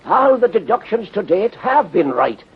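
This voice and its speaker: High, husky radio police sergeant. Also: male voice, big pitch swings, moderate pace, heavily acted. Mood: puzzled, keen. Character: plain-spoken, doubtful police sergeant, the detective's sidekick. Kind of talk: 1930s radio serial acting. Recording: tinny, rough 1930s radio recording.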